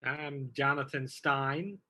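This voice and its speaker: Easygoing man, bright voice. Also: medium pitch, steady tone, takes it slowly. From New York. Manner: easygoing, loses track, uneven pace. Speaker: acting student guest. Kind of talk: casual video call introduction.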